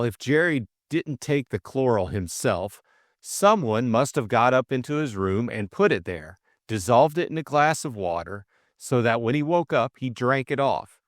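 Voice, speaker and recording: A man voicing a breezy, reasoning young gentleman sleuth in an audiobook. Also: medium pitch, no echo, studio quality, lightly acted. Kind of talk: audiobook character dialogue.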